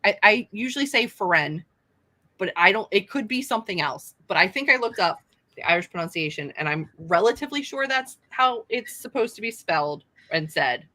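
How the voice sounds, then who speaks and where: Bright-voiced chatty woman author. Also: mid-range voice, animated, brisk. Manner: chatty, self-deprecating, lots of fillers. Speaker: author hosting a live show. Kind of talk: casual live-stream chat.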